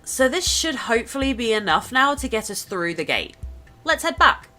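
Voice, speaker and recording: High bright woman doing chatty gameplay narration, light music behind her. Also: sing-song, moderate pace. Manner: informal, chatty, addressing viewers. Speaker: gameplay video presenter. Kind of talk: upbeat scripted gameplay narration.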